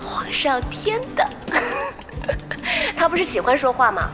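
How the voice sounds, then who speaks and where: A woman's voice: high-pitched, huge pitch swings, slow, over music, heavily acted. A woman playing a sweet, playful young gamer in an audio drama, breezy and mischievous. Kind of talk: Chinese audio drama acting.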